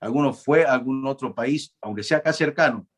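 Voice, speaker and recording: A man's voice: medium pitch, fairly quick. Man lecturing, lots of fillers. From Argentina. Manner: conversational, asks the class questions, frequent "eh" fillers and restarts. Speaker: law lecturer. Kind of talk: university lecture.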